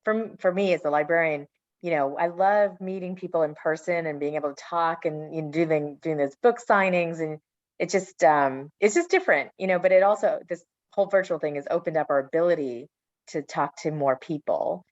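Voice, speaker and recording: A woman's voice: low voice, moderate pace, darkish tone, no room sound, dull audio. A woman librarian hosting a virtual author panel and reading out audience questions. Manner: reads audience questions aloud. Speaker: librarian host. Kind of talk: moderating a panel.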